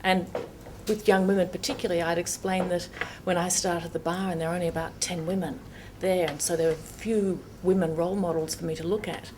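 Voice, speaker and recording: An older woman, a judge, speaking softly and reflectively in a panel discussion. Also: lowish voice, fairly even intonation, a little fast, hardly any pauses, a little hiss or hum. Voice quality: soft-voiced.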